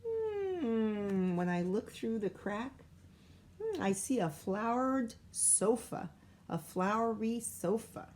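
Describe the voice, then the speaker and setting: A woman teacher reading a picture book aloud to young children in an expressive, sing-song way, with coaxing asides and questions. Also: on the high side, takes it slowly, slight background noise. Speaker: primary school teacher reading aloud to pupils.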